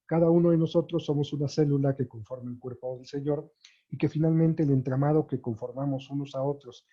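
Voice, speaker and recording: Dark, husky lecturer. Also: male voice, fairly high pitch, fairly even intonation, brisk, lo-fi sound. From Mexico. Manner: thinking aloud with many fillers and restarts.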